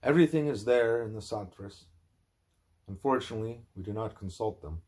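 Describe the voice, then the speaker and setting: A man narrating a spiritual text aloud in deliberate, emphatic phrases. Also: on the low side, animated, a little mellow. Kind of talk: scripted narration, broken by asides to a small child.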